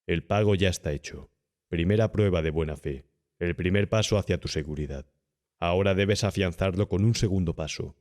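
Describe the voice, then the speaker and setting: A man voicing a cynical, calm, domineering young gentleman body snatcher who talks persuasively and condescendingly in an audiobook horror story. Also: low-pitched, normal speed, natural voice. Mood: calm, instructing. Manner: smooth-talking, persuasive, condescending.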